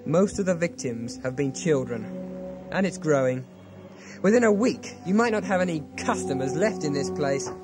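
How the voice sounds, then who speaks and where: A man's voice: fairly high pitch, animated, moderate pace, over music, lightly acted. A man playing a desperate, angry pleader in an audio drama, earnest and accusing.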